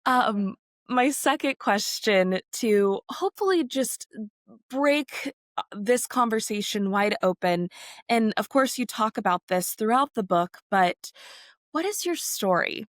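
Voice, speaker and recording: A woman's voice: a little high, animated, a little slow, dry recording, clean studio sound. A young adult woman hosting an interview, asking open questions and affirming her guest's answers. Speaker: podcast host.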